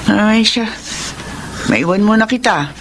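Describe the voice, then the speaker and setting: A woman's voice: medium pitch, animated, slow pace, slight background noise. A woman playing a concerned older mother and grandmother who questions in a motherly, nagging way in a Tagalog radio drama. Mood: concerned, probing.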